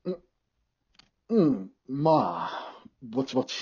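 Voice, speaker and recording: A man playing a gentle, awkward father of a teenage girl in an amateur radio drama. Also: mid-range voice, very animated, slow pace, husky, full character voice. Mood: awkward, tentative. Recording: dull remote home recording.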